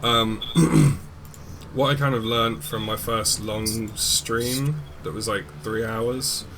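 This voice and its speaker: A young man, chatting in a relaxed way during a gaming stream and swearing casually. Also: medium pitch, takes it slowly, heavy background noise.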